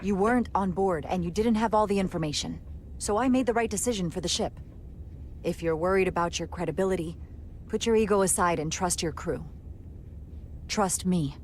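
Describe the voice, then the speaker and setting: An actress playing a confident, principled starship first officer in a video game, composed, assertive and formal. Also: female voice, lowish voice, moderate pace, a little hiss or hum, close to an everyday voice. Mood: firm, defiant. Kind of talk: dramatic video game acting.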